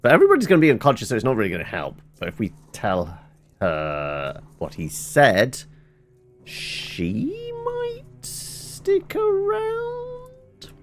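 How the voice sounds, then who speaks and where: A man's voice: mid-range voice, wildly swooping pitch, slow, faint music behind. A man giving casual playthrough commentary on a video game, playfully thinking aloud. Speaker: gaming video host. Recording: faint background noise, game ambience.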